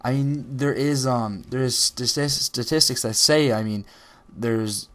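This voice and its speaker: A teenage boy speaks in a casual, rambling way over his gameplay video, at a middle pitch for a young man. His talk is full of ums, repeated starts and self-corrections. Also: measured pace, fairly bright tone. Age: teens. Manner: rambling, many ums and restarts. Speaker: gaming video creator.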